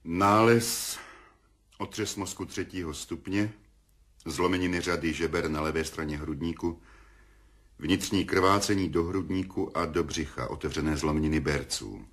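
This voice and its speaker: An actor playing a blunt, clinical surgeon with a husky voice, matter-of-fact in a radio play. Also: male voice, low-pitched, a bit bright.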